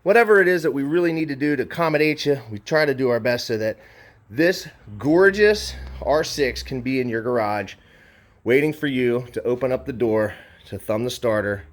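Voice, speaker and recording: A man's voice: medium pitch, moderate pace. Casual, enthusiastic man presenting a bike. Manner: conversational and enthusiastic. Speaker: motorcycle dealer presenting a bike for sale. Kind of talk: casual unscripted walkaround sales pitch.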